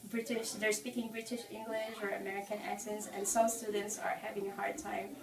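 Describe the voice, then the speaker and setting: A woman's voice: mid-range voice, little pitch movement, a little fast, gravelly, crowd noise. Woman from the Philippines, distant and echoey. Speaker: interviewee.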